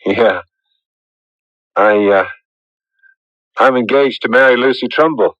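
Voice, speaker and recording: An actor playing an easygoing, modest young farmer in a radio drama, casual and plain-spoken. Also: male voice, medium pitch, slow, no echo, close to an everyday voice. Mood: bashful, happy. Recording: dull, dry old radio recording.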